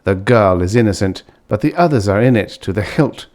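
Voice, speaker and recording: A man voicing an earnest, naive, priggish young man in an audiobook story, stiff and indignant, halting as he searches for words. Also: on the low side, lively intonation, darkish tone, lightly acted. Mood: urgent.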